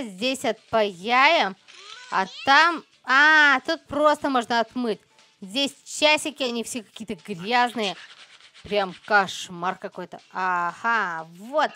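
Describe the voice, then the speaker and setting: A woman's voice: fairly high pitch, bright, forceful tone. A woman hosting a children's game play-along, chatty and exclamatory, talking directly to young viewers. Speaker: host of a children's gaming channel.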